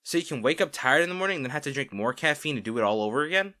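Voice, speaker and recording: A man's voice: on the high side, animated, very fast, sharp tone. A man playing a caring, worried boyfriend in an intimate roleplay audio drama, earnest and pleading. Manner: earnest, pleading, emphatic, with sighs.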